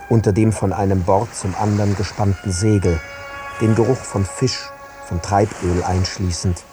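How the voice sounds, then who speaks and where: Low-voiced man reading prose over music. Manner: measured, descriptive, phrase by phrase. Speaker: reader. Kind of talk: literary prose reading in a radio play.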